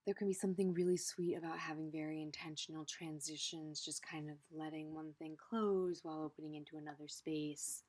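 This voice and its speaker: Female meditation guide, slightly low register, gentle and unhurried, improvised delivery with soft phrase endings.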